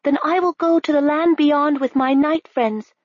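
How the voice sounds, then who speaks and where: A woman's voice: high-pitched, moderate pace, dry recording, voice pushed up, natural voice. A woman voicing a brave, hopeful young princess who speaks earnestly. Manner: earnest, pleading. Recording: slightly dull, dry recording.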